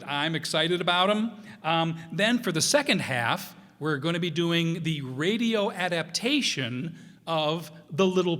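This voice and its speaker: A man's voice: a little high, a bit bright. A middle-aged man talking informally to a live audience, rambling and self-deprecating as he previews things. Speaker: director previewing upcoming shows. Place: live stage in a hall. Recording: reverberant hall.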